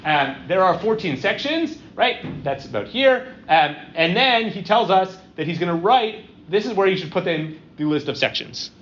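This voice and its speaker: Middle-aged male lecturer, slightly high voice, talks in stops and starts with constant "right?" checks, dull muffled room recording with faint background hum. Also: lively intonation, a bit bright. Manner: conversational, halting, frequent "um" and "right?" tags. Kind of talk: university-style lecture with audience questions.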